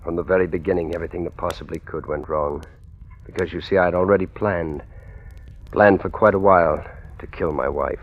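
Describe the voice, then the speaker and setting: An actor playing a brooding, henpecked husband with murder in mind in a 1940s radio suspense play, subdued and hesitant. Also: male voice, sits low, steady tone, a little fast, close to an everyday voice. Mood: brooding, confessional. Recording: dull old radio recording, music underneath.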